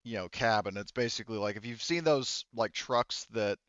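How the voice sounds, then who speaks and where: Low, smooth-voiced man running a tabletop game. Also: moderate pace, a bit bright, no echo, highs cut off. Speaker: game master. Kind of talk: explaining tabletop game rules conversationally.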